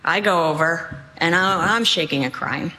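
A woman in her sixties from Cuba, raised in New England, telling a personal story with deliberate phrasing. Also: lowish voice, bright, forceful tone, poor audio. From born in Cuba, raised in New England. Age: 60s.

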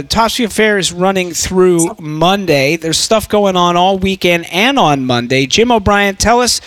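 A man hosting an interview in lively, unscripted chat, animated and jokey, quick to exclaim. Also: sits high, dry recording. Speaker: interviewer and show host.

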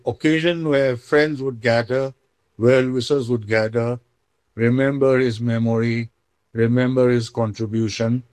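A man with an Indian accent shares personal memories in an interview. His voice sits at a middle pitch, and the recording is dry and close. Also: a little mellow, no echo. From Manipur, India. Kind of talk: personal reminiscence, conversational.

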